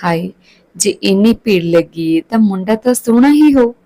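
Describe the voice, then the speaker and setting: A woman's voice: mid-range voice, animated, moderate pace, dark tone, close to an everyday voice. Woman narrator playing feisty college girl, young, teasing, colloquial, storybook character. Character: teasing, bold young female college student.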